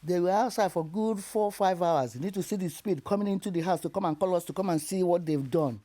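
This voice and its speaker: Woman, older, Nigerian accent, mellow warm tone, animated storyteller. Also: on the low side, brisk. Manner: animated storytelling, laughs while telling hard memories. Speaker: interview guest. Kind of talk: recounting her life story.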